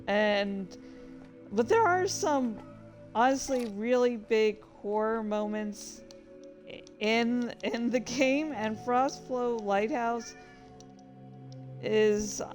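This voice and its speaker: Slow-talking woman with a higher voice. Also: a little mellow, soft background music. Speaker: host of a reading and gaming channel. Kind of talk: casual storytelling about gaming.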